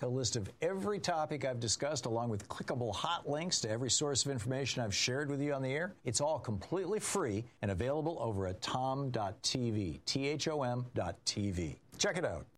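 An older man hosting a political talk radio show, giving an emphatic, opinionated monologue and taking calls. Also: mid-range voice.

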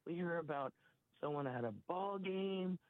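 Caller: woman, husky from a cold, halting speech, tinny phone line, dry. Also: lowish voice, dry recording. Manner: halting, many restarts. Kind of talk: call-in radio chat.

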